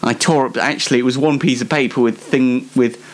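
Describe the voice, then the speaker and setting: A man's voice: mid-range voice, fairly even intonation, fairly quick. A man hosting a solo show in a casual comic monologue, rambling hesitantly and poking fun at himself. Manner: hesitant, rambling, self-deprecating. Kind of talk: casual comedic monologue to audience.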